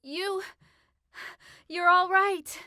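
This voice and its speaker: A man voicing an emotional, awestruck sixteen-year-old girl with a breathy delivery in an audiobook. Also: very high-pitched, fairly even intonation, extremely slow, pushed and bright, dry recording, clean studio sound, voice pushed up, full character voice. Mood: amazed.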